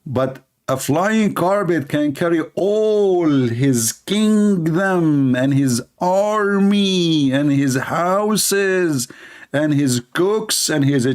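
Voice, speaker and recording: A man's voice: fairly high pitch, darkish tone, clear, resonant tone. A man hosting a live stream, talking in a sarcastic, mocking way and mimicking others in funny voices. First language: Arabic.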